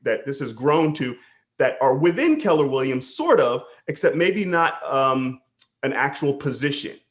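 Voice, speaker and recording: A man's voice: medium pitch, expressive, unhurried. A man hosting a casual interview as a coach, enthusiastic and affirming.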